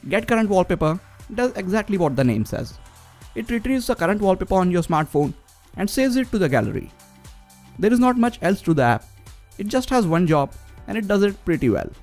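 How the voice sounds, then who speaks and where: A man with a high-pitched voice presents a scripted tech review. His narration runs over faint background music. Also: sing-song, fairly quick, a little mellow.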